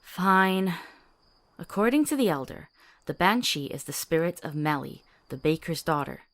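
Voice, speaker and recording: A woman playing a compassionate, sharp-tongued companion to a monster hunter in a fantasy visual novel, earnest and articulate. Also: fairly low pitch, lively intonation, normal speed. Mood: exasperated.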